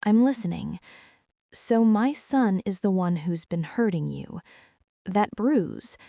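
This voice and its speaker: A woman playing a gentle, nervous mother in a soft-spoken ASMR roleplay, tender, hushed and hesitant as she reassures and fusses. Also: medium pitch, animated, slow pace, creaky, dry recording, clean studio sound, full character voice. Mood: gentle concern. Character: gentle, nervous mother fussing over a hurt boy.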